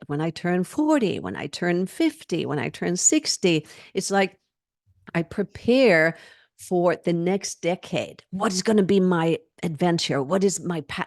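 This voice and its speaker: Earnest low-voiced woman in her sixties. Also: dry recording, studio quality. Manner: earnest, reflective, laughs often. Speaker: fitness trainer and guest. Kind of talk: conversational interview answers. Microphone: video call.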